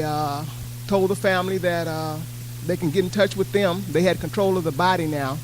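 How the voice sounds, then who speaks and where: A man's voice: fairly high pitch, noisy background. A man telling a story informally to an audience in a plain, matter-of-fact way. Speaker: panel speaker sharing pilgrimage experiences.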